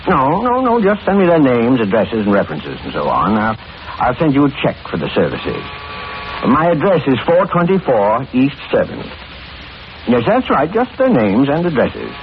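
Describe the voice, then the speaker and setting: An actor playing a creepy, gleeful murderer posing as a fussy gentleman in 1940s radio horror, muttering and repeating himself in an oily way. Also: medium pitch, huge pitch swings, moderate pace. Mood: fussy, polite. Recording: muffled, noisy old radio recording.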